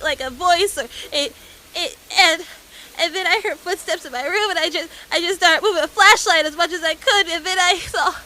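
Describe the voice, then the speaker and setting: A woman's voice: very high-pitched, sharp tone, slight background noise. A woman voicing a frightened young boy who stammers and repeats himself in a horror audio drama. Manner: halting, stammering, repeating words. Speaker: voice actor.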